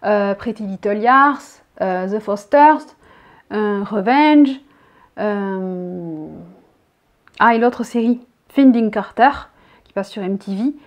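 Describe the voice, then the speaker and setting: A woman's voice: medium pitch, slow pace, muffled recording. Chatty southern French woman in her thirties. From southern France. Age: 30s. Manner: chatty, rambling, reads each question out loud. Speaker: vlogger. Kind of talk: casual chat answering tag questions. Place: bedroom, indoors.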